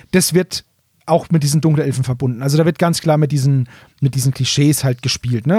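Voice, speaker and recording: A man's voice: on the high side, darkish tone, slightly rough, dry recording, studio quality. A man co-hosting a podcast, explaining casually and repeating words for emphasis. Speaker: podcast co-host.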